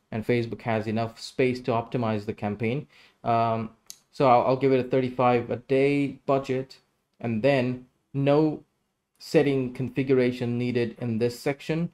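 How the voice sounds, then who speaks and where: A man's voice: mid-range voice, steady tone, moderate pace, darkish tone. A man in his thirties giving a casual screen-share tutorial, explaining each step as he goes. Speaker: tutorial presenter.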